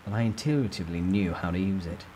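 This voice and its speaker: A man's voice: sits low, fast talker, a little mellow, slight background noise. A man giving a dramatic first-person storytime reading of a novel. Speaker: narrator reading a novel aloud.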